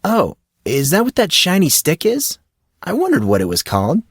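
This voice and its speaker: A man's voice: high-pitched, wildly swooping pitch, moderate pace, breathy edge, dry recording, pitched above the performer's own voice, full character voice. A guarded teenage boy, puzzled and full of questions, dodging anything personal, as a man reads him. His voice sits raised and swings widely. The sound is dry and close. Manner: questioning, evasive.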